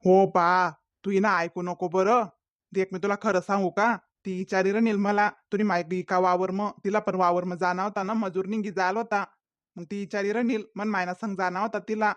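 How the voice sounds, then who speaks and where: A man's voice: high voice, level tone, moderate pace, a bit bright, dry recording, dull audio. A man voicing a defensive young village boyfriend in a comedy cartoon, pleading and making excuses in a Khandeshi Ahirani accent. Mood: explaining, defensive. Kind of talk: comedy cartoon dialogue in dialect.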